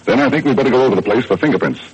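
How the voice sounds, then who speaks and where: An actor playing a steady, courteous police detective with authority in an old-time radio drama. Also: male voice, mid-range voice, racing, breathy edge, lo-fi sound. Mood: businesslike, decisive. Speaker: police inspector.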